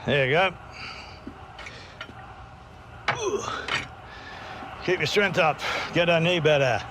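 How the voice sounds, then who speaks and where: A man's voice: a little high, fairly quick, background noise, close to an everyday voice. A man playing a plain-spoken, caring older relative in a video game cutscene. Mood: kindly. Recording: game dialogue over faint music.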